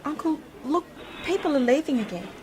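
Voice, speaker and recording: A woman's voice: high-pitched, lively intonation, a little fast, darkish tone, slight background noise, poor audio. A woman playing a curious, sceptical young niece and companion in an amateur science fiction radio play, questioning and cheeky. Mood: curious, wary.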